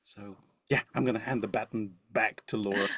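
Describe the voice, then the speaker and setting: Man, jokey rambling talk, video call, gravelly edge. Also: lowish voice, fairly even intonation, a little fast, fairly bright tone. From Indian heritage. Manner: jokey, self-deprecating, rambling with fillers. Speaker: musician, webinar co-presenter. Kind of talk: joking webinar talk.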